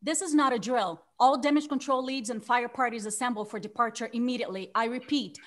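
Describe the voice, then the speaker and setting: Emergency control operator, played by a woman: highish voice, urgent, commanding, clipped, sci-fi screenplay. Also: fairly quick, natural voice. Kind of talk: sci-fi screenplay table read.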